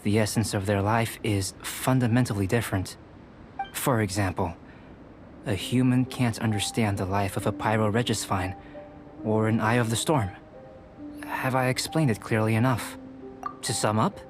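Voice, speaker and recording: Cerebral young alchemist voiced by a man, even and measured, music faint behind. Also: medium pitch, lightly acted. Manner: measured, analytical and soft-spoken. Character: young male alchemist, calm, cerebral and precise. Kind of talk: game cutscene voice acting.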